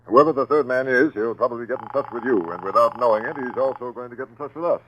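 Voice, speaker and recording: An actor playing a methodical, commanding police captain, giving clipped orders in 1940s radio crime drama style. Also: male voice, medium pitch, fast, lightly acted. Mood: confident. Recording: noisy 1940s radio broadcast recording.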